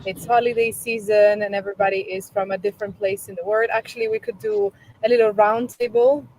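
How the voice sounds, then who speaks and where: A woman's voice: medium pitch, level tone, brisk, darkish tone, low-quality recording. Woman hosting a live stream on a video call, chatty and friendly, faint noise behind. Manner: chatty, friendly, with small laughs and fillers. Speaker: host of a company live stream. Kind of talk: casual live-stream chat.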